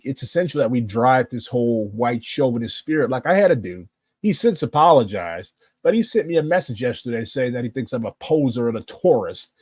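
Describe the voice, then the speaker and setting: A man's voice: mid-range voice, darkish tone, slightly rough, dry recording. A man with a St. giving blunt, impassioned political commentary. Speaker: political activist.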